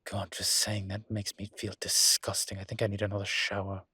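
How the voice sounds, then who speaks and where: A man playing a suave ancient demon professor in an intimate roleplay audio drama, with a husky, breathy low voice and a dry, teasing, urbane manner. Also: monotone, a little fast, bright, forceful tone, dry recording, heavily acted. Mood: rueful, dry, then playful grumbling.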